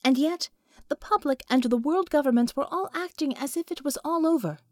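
Woman audiobook narrator, somewhat high voice, scripted fiction reading, very dry close sound. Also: fairly quick, dry recording.

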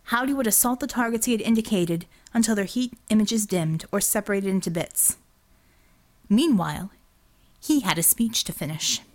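A woman's voice: medium pitch, expressive, moderate pace. A woman reading a scripted story as an audiobook narrator.

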